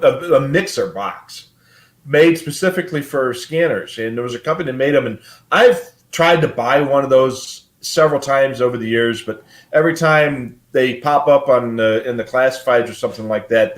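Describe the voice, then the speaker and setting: A man's voice: mid-range voice, normal speed. An older man hosting a casual live hobby show, explaining things in a rambling, matter-of-fact way.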